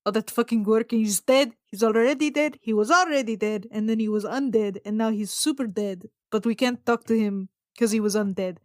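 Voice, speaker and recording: A woman's voice: fairly high pitch, a little fast, few pauses, a little mellow, no echo, clean studio sound, close to an everyday voice. Woman playing Arturo, a grumpy, tired adventurer. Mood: exasperated. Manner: exasperated, sweary.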